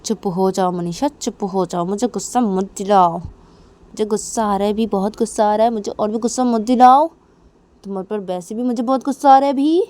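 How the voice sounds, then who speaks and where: A woman voicing an angry, sullen woman in an amateur audio story, snapping curt, forceful, repeated commands. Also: lowish voice, normal speed, vocal fry, hardly any pauses, breathy edge. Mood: furious. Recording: noticeable background noise.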